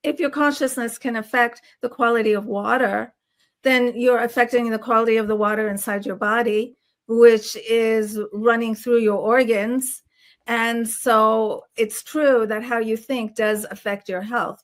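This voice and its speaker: A woman's voice: fairly high pitch, steady tone, unhurried. A woman hosting a casual online interview in an inquisitive, reflective way. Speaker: podcast host. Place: online video call.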